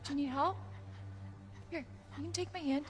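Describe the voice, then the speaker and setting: A woman's voice: high-pitched, steady tone, moderate pace, big-room sound, lightly acted. An actress with an American accent playing a brave, caring young woman survivor in a horror video game, earnest and casually wisecracking. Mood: gentle, reassuring. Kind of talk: naturalistic video game cutscene acting.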